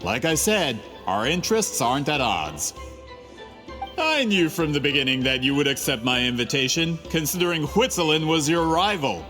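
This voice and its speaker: Chciwego kupca-intryganta, wysoki jasny głos, mocno modulowany, pogłos i muzyka w tle. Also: male voice, on the high side, sing-song, moderate pace, sharp tone. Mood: smug. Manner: smug, scornful, calculating. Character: greedy scheming adult male printing merchant. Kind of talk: game cutscene voice acting. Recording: game audio with reverb and music.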